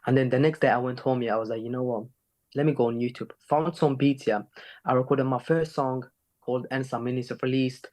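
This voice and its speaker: A man's voice: medium pitch, steady tone, fairly quick, a little mellow. A man from Sweden, a rapper and singer being interviewed, chatting casually with plenty of slang filler. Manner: slangy, with frequent "innit" and "you know". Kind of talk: casual chat.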